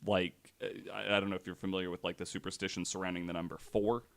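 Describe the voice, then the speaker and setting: A young man hosting a casual podcast, retelling a story in a relaxed way with lots of fillers. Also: sits low, quick pace. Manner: relaxed, many fillers, voices others' claims with mock quotes. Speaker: podcast host.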